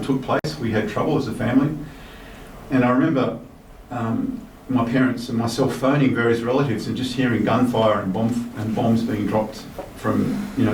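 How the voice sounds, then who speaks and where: Aussie guy in his 50s giving a speech, mid pitch, relaxed, reverby hall from far off. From Australia. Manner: relaxed, with um fillers. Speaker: guest speaker reading a minister's message. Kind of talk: formal speech mixed with personal remarks. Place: large hotel reception hall. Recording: echoey hall from far off with faint noise.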